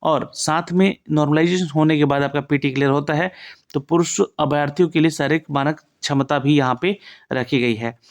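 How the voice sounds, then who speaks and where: A man's voice: a little high, fairly even intonation, brisk. A man giving an informal, instructive walk-through of an official notice, often reminding listeners what to note. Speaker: presenter of a job exam information channel.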